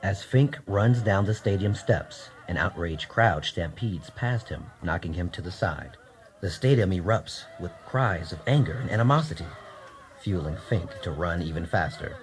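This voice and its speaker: A man's voice: deep voice, lively intonation, moderate pace. A man narrating a scripted radio drama in a theatrical storytelling manner.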